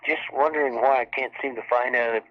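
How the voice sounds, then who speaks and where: Male caller, mid-range voice, polite and measured, heard through a dull telephone connection. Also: mid-range voice, fairly even intonation. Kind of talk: spontaneous question on a public call.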